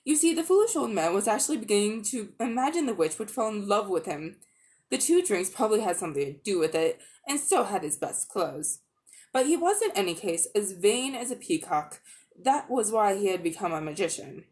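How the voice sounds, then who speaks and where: A woman narrating a children's novel as a dramatic, expressive audiobook reading. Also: mid-range voice, brisk. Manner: expressive, storytelling delivery. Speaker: audiobook reader.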